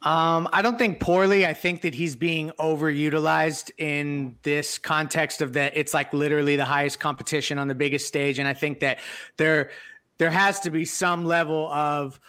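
A man's voice: a little high, fairly even intonation, moderate pace, pushed and bright, clear, resonant tone. A man giving his opinions as a panelist in a casual sports discussion.

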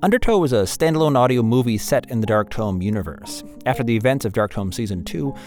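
Upbeat male podcast host over music, lowish voice. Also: expressive, fast, darkish tone. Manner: upbeat, enthusiastic. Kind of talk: podcast announcement, semi-scripted.

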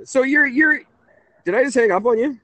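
A man's voice: very high-pitched, normal speed, pushed and bright, no room sound. A man in his thirties hosting a casual call-in radio talk show, rambling and correcting himself. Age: 30s. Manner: rambling, chuckling, self-correcting.

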